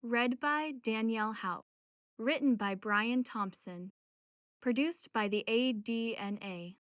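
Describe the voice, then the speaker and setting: A woman reading scripted audio description in a measured, descriptive way. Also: fairly high pitch, smooth, no echo, studio quality. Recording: loud music under the narration. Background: children's music.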